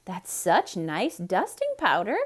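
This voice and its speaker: A woman's voice: medium pitch, huge pitch swings, takes it slowly, breathy edge, heavily acted. A woman voicing a cheerful, naive, earnest housemaid in a theatrical children's storybook reading. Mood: innocent, eager. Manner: chirpy, earnest, eager.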